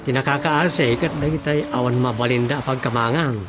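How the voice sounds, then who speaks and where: A man's voice: medium pitch, steady tone, brisk, close to an everyday voice. A man with an Ilocano accent playing a folksy, chatty village elder in a radio comedy-drama. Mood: concerned, chatty. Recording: music behind the voice.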